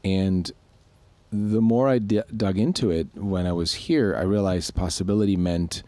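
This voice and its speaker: A man from Switzerland co-hosts a podcast with a very low voice. He explains his ideas loosely and reflectively, often restarting sentences. The sound is close and dry. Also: moderate pace, dark tone, no echo. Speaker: podcast co-host. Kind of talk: casual podcast conversation.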